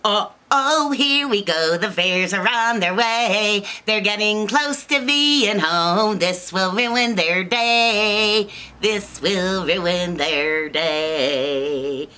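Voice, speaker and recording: A woman voicing small nervous mice in a children's story, chanting squeaky warnings in drawn-out rhyme. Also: sharp tone. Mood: anxious. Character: small anxious singsong mice giving warning.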